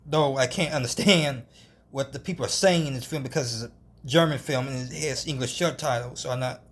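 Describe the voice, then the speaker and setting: A man's voice: mid-range voice, a bit bright. Man, amateur film reviewer; hesitant, rambling delivery, restarts often, middle-range voice. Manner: halting, with frequent restarts and hedging.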